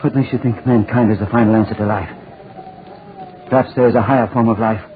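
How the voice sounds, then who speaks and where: A man's voice: lowish voice, steady tone, quick pace, lightly acted. An actor playing a tormented, paranoid old man in a melodramatic 1940s radio drama style, repeating himself desperately. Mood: frantic, terrified. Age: older. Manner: fraught, melodramatic, repeats phrases. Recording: scratchy, noisy, tinny 1940s radio recording.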